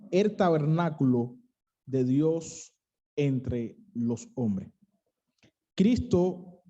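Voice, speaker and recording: A young man with a Cuban accent preaching an earnest sermon, pausing to stress key phrases. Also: a little high, warm tone, muffled recording. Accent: Cuban, western coastal. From Cuba.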